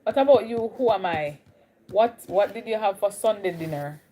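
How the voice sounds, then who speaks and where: A woman's voice: mid-range voice, lively intonation, moderate pace, warm tone. A young woman with a Jamaican accent, chatting casually with viewers on a live stream. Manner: chatty, greets viewers, drifts between Caribbean accents. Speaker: livestream host.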